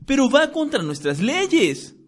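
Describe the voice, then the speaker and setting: A man's voice: very high-pitched, sing-song, slow, voice pushed up, full character voice. A man reading a story, voicing an emphatic, boastful teenage schoolboy. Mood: explaining.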